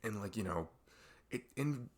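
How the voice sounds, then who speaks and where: A man playing a best friend who confides about a fight with his parents in a casual, halting, rambling ASMR roleplay style. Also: fairly low pitch, animated. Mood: earnest, explaining. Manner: halting, rambling, exasperated sighs.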